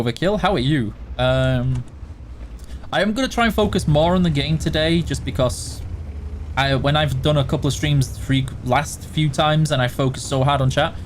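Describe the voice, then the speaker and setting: Chatty higher-voiced Yorkshire man. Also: a little hiss or hum. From Yorkshire, England. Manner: chatty, self-deprecating, hums between answers. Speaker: game community streamer. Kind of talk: casual live stream chat, answering viewer questions.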